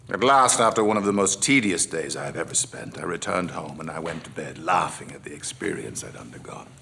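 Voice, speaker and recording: An actor playing a worldly, wry gentleman narrating his memoirs theatrically, with a gravelly voice that drops toward a whisper. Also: a bass voice, lively intonation, a little fast, slight background noise, exaggerated voice. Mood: relieved. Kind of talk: dramatic first-person memoir narration.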